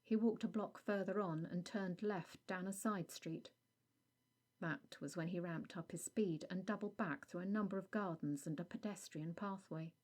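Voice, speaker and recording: A woman reading a scripted audio story as narrator, in a measured storytelling delivery. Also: fairly low pitch, darkish tone.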